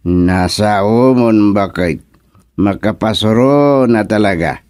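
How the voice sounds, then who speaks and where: A man with an Ilocano accent playing a bumbling, curious middle-aged village man in a radio comedy drama, talking in a slow, musing, drawn-out way. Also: fairly low pitch, a little mellow, no echo, studio quality.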